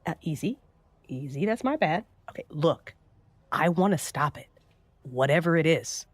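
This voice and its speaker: An actress playing a brash, foul-mouthed, pushy paranormal investigator in a horror audio drama. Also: female voice, sits low, lively intonation, moderate pace, husky, dry recording. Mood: persuading.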